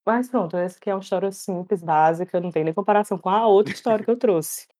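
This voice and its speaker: A young woman tells a story at a quick clip. Her delivery is lively and casual, and she acts out the voices of the people in it. Her pitch sits in a middle range. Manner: animated, laughs often, acts out quoted voices. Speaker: storyteller guest.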